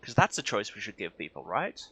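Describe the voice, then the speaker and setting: A man's voice: lowish voice, sing-song, a little fast, pushed and bright, slight background noise. A man streaming a video game with casual, wry, grumbling commentary and a sardonic way of talking.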